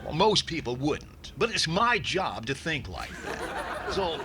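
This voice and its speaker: A man playing a high-voiced, pompous police inspector. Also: very animated, takes it slowly. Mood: smug, droll. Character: pompous, droll police inspector, quizzing witnesses. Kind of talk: old-time radio comedy acting. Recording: very noisy recording.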